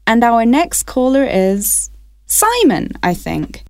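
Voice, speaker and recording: Woman from wales hosting a call-in show, pitch bounces around a lot, very dry close sound, polite and careful. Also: wildly swooping pitch, slow, darkish tone, dry recording. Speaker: radio phone-in host. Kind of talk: scripted radio phone-in presenting.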